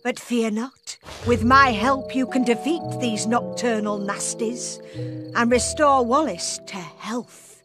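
A woman playing a mysterious, all-knowing helper in an animated video game, speaking in an ominous, portentous way. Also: on the high side, over music. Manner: portentous, deliberate.

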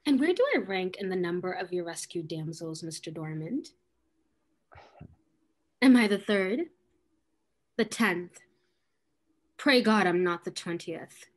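A young woman playing an arch, quick-witted young lady of a period stage comedy, defiant about marriage. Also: lowish voice, animated. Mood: scornful, witty. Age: young adult. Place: indoors, on a video call.

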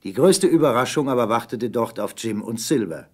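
A man's voice: medium pitch, lively intonation, a little fast, darkish tone. A man narrating an adventure audio play as a scripted, dramatic storyteller.

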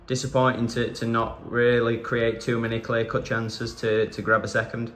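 A man's voice: medium pitch, monotone, moderate pace, a bit bright. A man, interviewing for the club channel in a conversational way, often stumbling over his words. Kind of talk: post-match interview questions. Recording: echoey room, faint background noise.

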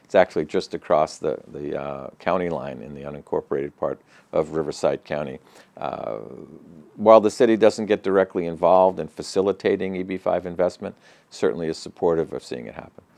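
An older man answering interview questions in an office, speaking in a measured, matter-of-fact way with frequent fillers and restarts. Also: sits low, creaky, darkish tone, no echo. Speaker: regional center president, interview guest. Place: office with bookshelves, indoors. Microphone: lapel mic.

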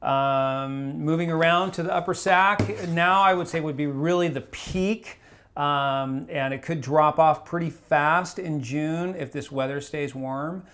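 A man in his forties giving a casual fishing report to camera in a small wood-paneled room, relaxed and rambling with lots of fillers and restarts. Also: a little high, a little slow. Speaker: fly shop fishing reporter.

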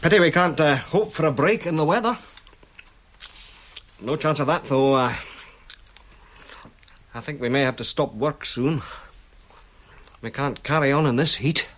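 An actor playing a hearty, easygoing archaeologist in a radio drama, talking informally and conversationally. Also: male voice, a little high, measured pace, long pauses, a bit bright. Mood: jovial. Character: hearty, easygoing archaeologist, male colleague back from illness. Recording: dull, faintly noisy radio recording.

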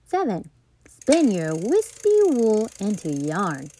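A woman reading a children's picture book aloud as a storytime host, playful and deliberate, with dramatic emphasis. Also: medium pitch, sing-song, warm tone, slightly rough. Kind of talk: expressive children's read-aloud narration.

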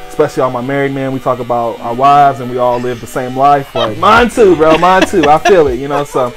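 A man's voice: medium pitch, brisk, over music, rough recording. A young man, a barber and shop owner, talking casually in an interview while cutting hair, explaining as he works in a small barbershop. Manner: relaxed, chatty, explains as he works. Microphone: lapel mic.

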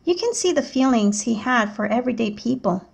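High-voiced woman reading a children's book aloud. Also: lively intonation, fairly quick, creaky, a little hiss or hum. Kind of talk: read-aloud narration of a picture book.

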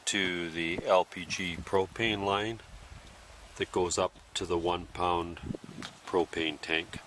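A man with a low voice talks slowly and methodically, walking through each step of a demonstration. His tone is bright and clear, and a faint hiss of background noise sits under the voice-over. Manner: plain, methodical explaining with pauses. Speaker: gear demonstrator. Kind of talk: instructional voice-over.

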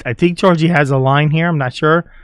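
A man's voice: fairly high pitch, steady tone, brisk, clear, resonant tone, no room sound. A man hosting a casual reaction video, commenting on game clips and playfully reading captions aloud. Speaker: YouTube host.

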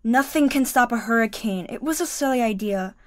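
A woman's voice: on the high side, brisk, no echo, clean studio sound, lightly acted. A woman playing an earnest, anxious schoolgirl in an audio drama. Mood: conflicted. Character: earnest, anxious schoolgirl, Puerto Rican family's daughter.

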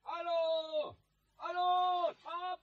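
An actor playing a village policeman who calls out urgently, shouting from afar in a 1950s radio play. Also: very high-pitched, little pitch movement, extremely slow, narrow, tinny audio, full character voice. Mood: urgent calling. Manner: shouting, calling out.

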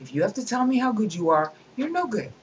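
A woman's voice: a little high, very animated, quick pace, dull audio, slight background noise. A woman reading a book aloud at her computer and breaking off into emphatic, scornful commentary. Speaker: reader and commentator.

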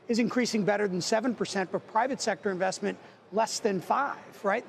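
A middle-aged man speaking as a market strategist on a TV news set, arguing points quickly with many figures. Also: high voice, vocal fry, slight background noise. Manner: dense with figures, argumentative. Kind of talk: financial news analysis. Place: TV studio on a trading floor.

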